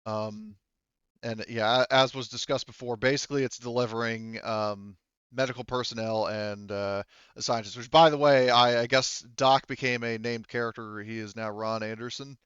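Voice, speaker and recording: A man's voice: mid-range voice, fairly even intonation, moderate pace, a bit bright, clean tone, no room sound, lo-fi sound. A man narrating a tabletop roleplaying game as game master in a casual, hesitant, improvising way.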